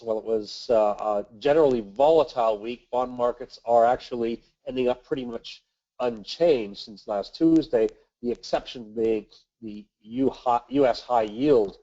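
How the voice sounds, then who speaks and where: Guy w a cold, nasal, reading market notes, dark mellow voice, kinda dull lo-fi audio. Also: medium pitch. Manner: measured, reads from notes with filler uhs. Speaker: webinar host, investment manager. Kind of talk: scripted market commentary webinar.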